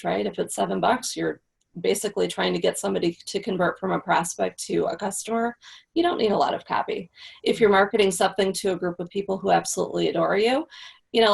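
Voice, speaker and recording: A woman's voice: medium pitch, creaky, husky. A woman in her forties, a copywriting guest, explaining her methods in practical steps over a video call with earbuds. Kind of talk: casual interview chat. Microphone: wired earbuds mic on a video call.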